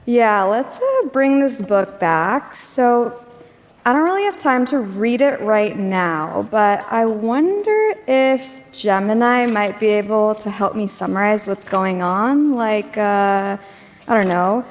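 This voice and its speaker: A woman's voice: medium pitch, expressive, slow. A woman giving a live tech demo on stage, casual and chatty with filler words. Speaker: demo presenter. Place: stage in a large auditorium. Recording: echoey hall.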